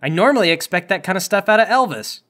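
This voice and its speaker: A man's voice: high voice, expressive, fast, a bit bright. A man running a tabletop roleplaying game, narrating and bantering in an exasperated, sarcastic way. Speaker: game master.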